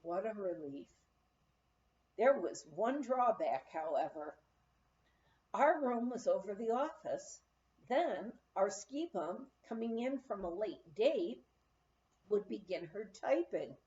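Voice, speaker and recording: A woman reading a memoir aloud as an audiobook narrator, telling it in an expressive storytelling manner. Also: medium pitch, takes it slowly, muffled recording. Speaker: audiobook reader.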